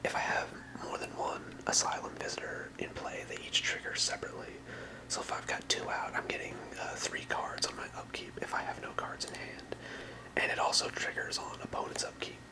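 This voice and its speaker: A person whispering in ASMR style, explaining things softly and without hurry as a creator presenting to viewers. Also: rough recording. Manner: soft, unhurried explaining in whispers. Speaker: ASMR creator presenting a trading card deck.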